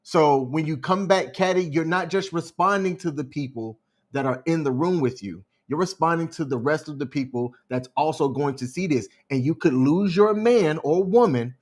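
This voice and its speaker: A man's voice: fairly high pitch, moderate pace, a little mellow. A man co-hosting a podcast, casually giving his opinions and explaining his points at length. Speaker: podcast co-host. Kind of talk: casual commentary chat.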